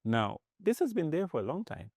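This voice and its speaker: A man from Zambia, a tech executive, talking as a podcast guest in an animated way, often restarting and repeating words. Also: fairly high pitch, a little fast, breathy edge, dry recording, studio quality. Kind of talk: casual interview talk.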